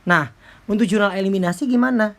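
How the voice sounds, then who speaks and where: A woman's voice: medium pitch, fairly quick. A woman giving an informal lecture as a teacher, explaining step by step with frequent check-in tags. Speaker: accounting lecturer.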